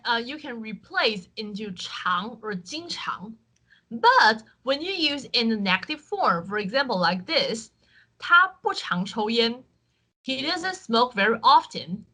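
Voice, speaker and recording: A woman from Taiwan, a native Chinese speaker with a Taiwanese accent, teaching an online Chinese lesson in clear, patient English with frequent fillers. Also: on the high side, bright, forceful tone, low-quality recording. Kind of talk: online language lesson with screen share.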